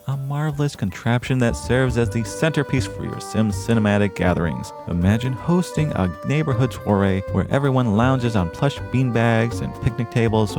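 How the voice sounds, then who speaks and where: A man playing a genteel, earnest podcast host, speaking scripted lines in a formal, florid, measured way. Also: fairly low pitch.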